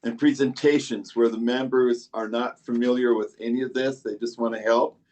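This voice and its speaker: A man talks in a casual, conversational way during a teleconference. His voice has a middling male pitch. He sounds like a participant offering remarks to colleagues. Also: steady tone, normal speed, darkish tone, poor audio. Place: online teleconference.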